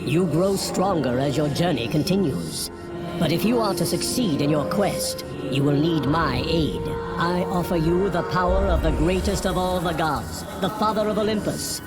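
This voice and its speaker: A man's voice: a little high, moderate pace, over loud music, close to an everyday voice. A man playing a mighty, fatherly king of the gods in a video game, declaiming solemnly and grandly. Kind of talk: dramatic video game acting.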